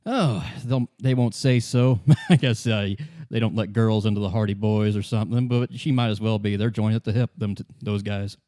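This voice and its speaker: A man voicing a guarded, wry young woman in hiding, polite and halting, in a tabletop roleplay. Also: on the low side, fairly even intonation, dark tone, dry recording, studio quality, close to an everyday voice. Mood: wry, candid.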